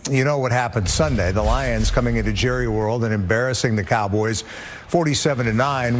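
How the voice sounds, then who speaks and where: A man hosting a TV sports show, delivering scripted commentary in a punchy, conversational anchor style. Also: medium pitch. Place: TV studio.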